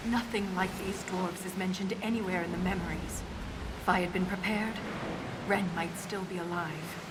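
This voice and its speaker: An actress playing an earnest, driven dwarf woman scholar in a video game, solemn and grieving, with measured, formal phrasing. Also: on the low side, fairly even intonation, heavy background noise, lightly acted. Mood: solemn, regretful. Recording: loud music behind. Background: loud game music.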